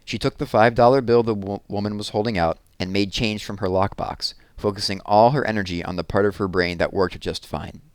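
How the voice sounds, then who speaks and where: A man with a fairly low voice reads a children's novel aloud. He tells it expressively, stretching key words for emphasis. The recording is dry, with very little room sound. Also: steady tone, fairly quick, hardly any pauses, clean tone, no echo. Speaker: storyteller reading aloud.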